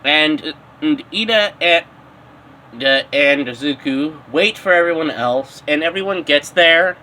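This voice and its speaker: A man's voice: on the high side, lively intonation, slow pace, bright, forceful tone, slight background noise. A man narrating improvised fan fiction, stumbling and repeating words as he goes. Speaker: storyteller.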